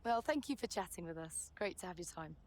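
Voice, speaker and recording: A woman's voice: lowish voice, animated, brisk. An actress playing a poised, keen woman motorsport presenter, polished and conversational as she interviews and commentates in a game. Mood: inquisitive.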